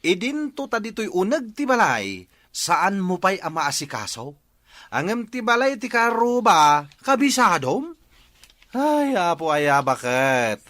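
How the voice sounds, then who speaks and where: Man doing blunt handyman husband, high voice for a guy, dry sound, radio drama. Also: very animated, measured pace, a bit bright, no echo, full character voice. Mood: reproachful. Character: plain-spoken handyman husband, scolding yet obliging. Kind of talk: Ilocano radio drama acting.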